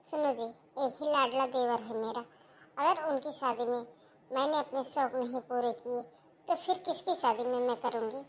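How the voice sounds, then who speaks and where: A young daughter-in-law whines and pleads in a high voice, as a woman acts her. Her talk is full of complaints, typical of a cartoon family drama. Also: moderate pace, lightly acted. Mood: eager. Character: young daughter-in-law, whiny and shopping-mad. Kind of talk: cartoon voice acting.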